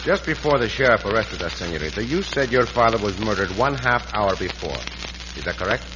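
An actor playing a gallant Mexican cowboy adventurer with a courteous, formal way of speaking in an old-time radio western. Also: male voice, on the low side, expressive, brisk, close to an everyday voice. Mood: insistent. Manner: courteous, formal, avoids contractions. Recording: noisy, muffled vintage radio broadcast.

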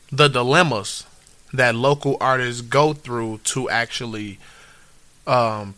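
Guy co-hosting a podcast, bright forceful voice, keeps asking follow ups. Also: medium pitch, no echo. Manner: leads the conversation, asks follow-up questions. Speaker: podcast co-host. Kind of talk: casual chat.

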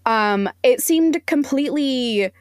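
A woman's voice: sits high, lively intonation, slow, smooth, no echo. Woman guest in a dry, close recording. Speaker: podcast interview guest. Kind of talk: opinionated discussion.

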